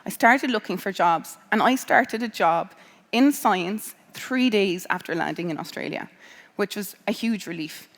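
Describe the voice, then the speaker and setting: A woman's voice: medium pitch, a little slow. An Irish woman in her thirties tells students about her path into science. She talks casually, with frequent ums and restarts. Her voice carries a long reverb from a large hall. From Ireland. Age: 30s. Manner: conversational, with many um fillers and restarts. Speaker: scientist giving a talk to students. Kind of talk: personal career talk.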